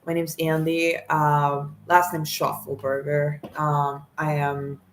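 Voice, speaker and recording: Rambling low-voiced young woman from Mexico. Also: little pitch movement, slow, background noise. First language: Spanish. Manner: rambling, lots of "like" and "um", self-conscious. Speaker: vlogger. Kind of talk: casual self-introduction to camera. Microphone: camera mic, talking to camera.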